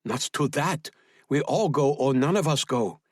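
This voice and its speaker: Man voicing a feisty woman agent, pitched up. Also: lively intonation, normal speed, darkish tone, no echo, studio quality, natural voice. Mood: urgent, defiant. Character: feisty, defiant young woman agent feigning innocent tourist.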